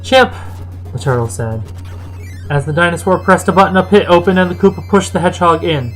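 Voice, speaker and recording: A woman's voice: on the low side, fast, faint music behind. A woman reading a fan fiction story aloud as narrator.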